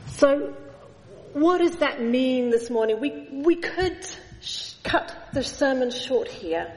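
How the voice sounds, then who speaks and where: A middle-aged woman preaching a sermon in a church, measured, with slow emphatic lists. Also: sits high, expressive. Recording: echoey church hall.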